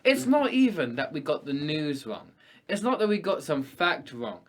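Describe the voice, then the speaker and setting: Young male co-host, slightly high voice, upbeat casual banter. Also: very animated. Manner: upbeat, chatty, quick shout-outs. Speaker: podcast co-host. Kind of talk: casual chat.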